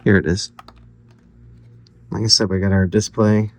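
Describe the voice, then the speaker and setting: Low-voiced man, casual and offhand. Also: fairly even intonation, a little slow, darkish tone, slight background noise. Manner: offhand, thinking aloud, loosely phrased. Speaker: electronics hobbyist host. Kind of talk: casual DIY project walkthrough.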